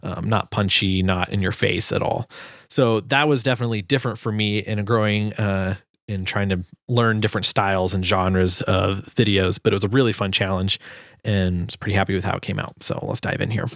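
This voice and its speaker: A man's voice: lowish voice, fairly even intonation, brisk, vocal fry, fairly bright tone, dry recording, clean studio sound. A man giving a casual, informal explainer as a sound designer, thinking aloud as he goes. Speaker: sound designer presenting own project.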